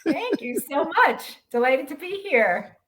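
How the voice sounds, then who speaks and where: A woman's voice: sits high, lively intonation, a little slow, a bit bright. A middle-aged American woman speaking as a podcast guest, conversational and reflective, with hesitant phrasing. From USA. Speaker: podcast guest, transformation mentor. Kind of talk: casual conversational interview.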